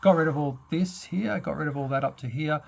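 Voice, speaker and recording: A man's voice: on the high side, fairly quick, gravelly. A man giving a casual, unscripted hands-on tech update as a hobbyist, thinking aloud and hesitating as he goes. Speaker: hobbyist presenter giving a radio modification demo.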